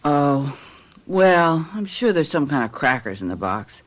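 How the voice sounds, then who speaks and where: An actress playing a gentle, tired suburban wife and mother in a radio drama, with hesitant phrasing. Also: low-pitched, big pitch swings, measured pace. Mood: tired, wistful. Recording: noisy radio drama with background sound effects.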